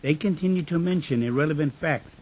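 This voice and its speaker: A man acting a formal, deliberate whistleblower. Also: fairly high pitch, noisy background, lightly acted. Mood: determined, formal. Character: frustrated, injured whistleblower reading his formal letter. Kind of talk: radio drama acting, formal letter reading.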